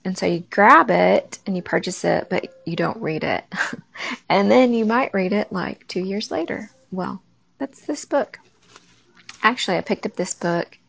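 A woman hosting a faith-based talk, speaking conversationally to her listeners with a raspy early-morning voice. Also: on the low side. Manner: warm conversational, addresses listeners directly. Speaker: podcast host. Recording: dull lo-fi recording.

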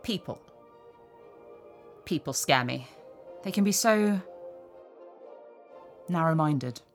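A woman's voice: low voice, lively intonation, slow, soft background music, exaggerated voice. A woman voicing a jaded celebrity TV presenter in an audio drama, dry, offhand and cynical. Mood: candid.

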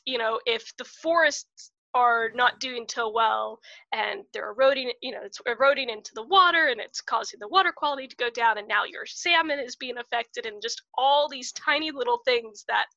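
Brief: woman podcast host, chatty and giggly, high bright voice, dry close sound. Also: no room sound, dull audio. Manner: chatty, laughs often, many fillers. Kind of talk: casual interview chat.

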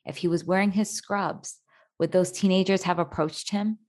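A woman's voice: on the low side, steady tone, moderate pace, a little mellow. A woman narrator reading a scripted documentary voice-over.

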